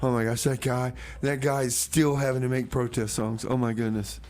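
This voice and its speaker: A man's voice: medium pitch, flat delivery, normal speed, a little mellow. A man hosting a radio show with casual comic banter, repeating phrases and mildly exclaiming.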